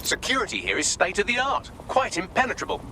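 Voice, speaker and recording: Wry, quick-talking AI assistant. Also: male voice, high voice, wildly swooping pitch, pushed and bright, a little hiss or hum, exaggerated voice. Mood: wry briefing. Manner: wry, glib. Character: cheeky, sardonic male AI assistant. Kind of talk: video game voice acting.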